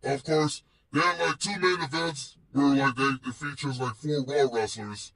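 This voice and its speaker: A man's voice: mid-range voice, normal speed, fairly bright tone, far from the mic, a little hiss or hum. A man vlogging casually about wrestling in a slangy, rambling, playful way, swearing freely. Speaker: vlogger and show host. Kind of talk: casual wrestling chat vlog.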